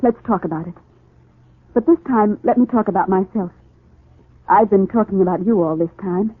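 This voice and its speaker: A woman's voice: medium pitch, breathy edge, natural voice. Actress as pleading woman in love, insistent, intense, old radio soap, echoey thin sound. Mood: wistful, insistent. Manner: intense, imploring, deliberate. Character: lovelorn, insistent woman pleading with the man she loves. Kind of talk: 1940s radio soap opera acting. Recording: tinny, echoey 1940s radio recording.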